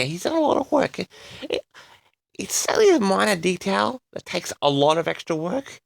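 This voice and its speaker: A man hosting a personal audio log, rambling solo to his audience in a theatrical manner with drawn-out words. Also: a little high, creaky, no echo.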